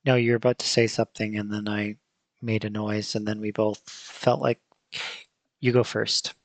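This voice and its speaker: A man hosting a podcast in casual chat, speaking thoughtfully and hesitantly with many pauses and fillers. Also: on the low side, level tone, no echo. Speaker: podcast host.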